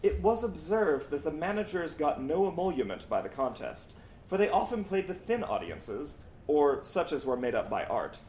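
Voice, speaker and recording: Higher-pitched man reading aloud, lively intonation. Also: moderate pace. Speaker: reader. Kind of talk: scripted reading aloud of a historical account. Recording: roomy echo, background noise.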